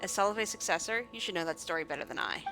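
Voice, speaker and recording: A woman's voice: on the low side, animated, fast talker, over music. A woman voicing a solemn, ancient guardian woman from a fantasy game, telling of old tragedy in formal, grave words. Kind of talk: fantasy game dialogue read aloud.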